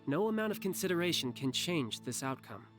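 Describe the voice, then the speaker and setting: A man playing a sly, playful young schemer in a video game, with a smooth-talking, casual, teasing manner. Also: on the high side, lively intonation, a little fast, fairly bright tone, soft background music. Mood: smooth, knowing. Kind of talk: scripted video game dialogue.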